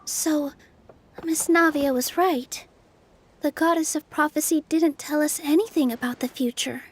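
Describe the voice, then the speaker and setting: A woman voicing a gentle, sincere young companion who speaks politely. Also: sits high, steady tone, measured pace, a little hiss or hum.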